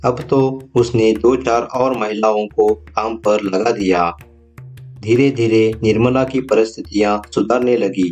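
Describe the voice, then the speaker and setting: Slow, low-voiced male story narrator. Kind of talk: scripted storytelling narration for a moral tale. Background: faint music.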